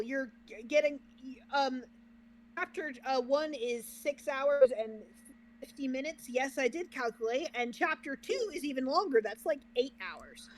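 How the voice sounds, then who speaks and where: A woman's voice: high voice, slight background noise, poor audio. A woman co-hosting a reaction livestream, chatting casually with the viewers. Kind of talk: casual chat with stream viewers.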